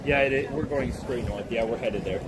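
A young man chatting on a city street, excitable and swearing, his voice jumping up when surprised. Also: mid-range voice, normal speed. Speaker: street companion. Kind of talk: casual street chat. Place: busy city street outdoors. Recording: loud traffic noise behind.

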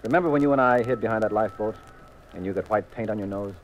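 A muffled vintage radio recording of a man acting as an American detective husband. His voice is low, and he speaks with wry, teasing assurance. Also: rapid-fire, a little hiss or hum, natural voice. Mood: probing, accusing. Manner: wry, assured, leading questions. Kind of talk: 1950s radio mystery acting.

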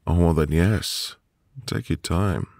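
A man playing a sweet, reassuring newlywed husband in an intimate ASMR roleplay, with a gravelly, breathy voice and a gentle manner. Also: low-pitched, full character voice. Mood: reassuring, warm. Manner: slow, gentle, soothing. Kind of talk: ASMR boyfriend roleplay, intimate soft delivery.

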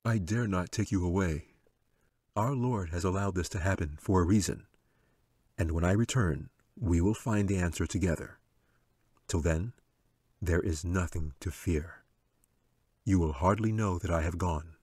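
A man's voice: low-pitched, measured pace, long pauses, a little mellow, breathy edge, no echo, studio quality. A man voicing a stern, protective male angel in a storybook, firm and grave. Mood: solemn.

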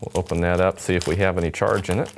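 Low-pitched young man, upbeat demo. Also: little pitch movement, quick pace, a little hiss or hum. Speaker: product demonstrator for a radio company. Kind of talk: casual unboxing demonstration to camera. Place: indoor studio set with table. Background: background music.

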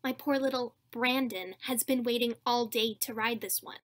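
A woman's voice: a little high, steady tone, normal speed, close to an everyday voice. A woman playing a pushy, entitled mother in a web cartoon, huffy and condescending. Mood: indignant. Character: pushy, entitled, indignant mother of small boy. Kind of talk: animated web cartoon acting.

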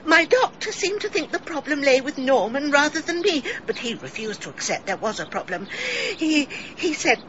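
A woman's voice: high-pitched, sing-song, normal speed, hardly any pauses, lo-fi sound, a crowd in the background. An actress playing a genteel middle-aged English village woman in a radio drama, confessing in a wistful, melodramatic, theatrical way. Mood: plaintive. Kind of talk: British radio drama acting.

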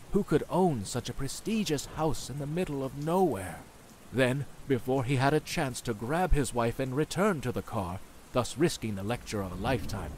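A man's voice: medium pitch, slight background noise, close to an everyday voice, rough recording. A man playing an ominous storyteller, narrating a horror radio play with scripted drama.

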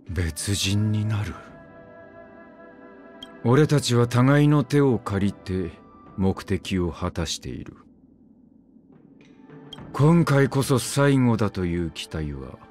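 A man voicing a brooding, weary immortal swordsman in a game, speaking in terse, clipped phrases. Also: a little mellow. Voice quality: low, curt, grim. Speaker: game character voice lines. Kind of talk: game voice-line acting.

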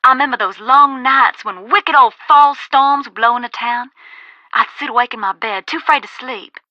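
An actress playing a folksy, wistful ghost-hunting author from a video game, with a twangy Georgia accent. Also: female voice, high voice, normal speed, dry recording, highs cut off, natural voice. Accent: Southern US, Georgia. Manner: folksy, storytelling. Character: wistful Southern woman ghost hunter and author.

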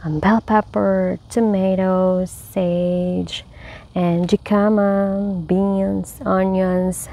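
Slow-talking gravelly woman touring her garden. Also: medium pitch, level tone, mellow. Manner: cheerful, chatty, addresses viewers as guys. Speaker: home gardener. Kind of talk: casual garden tour. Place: outdoors in a backyard garden. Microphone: handheld phone camera.

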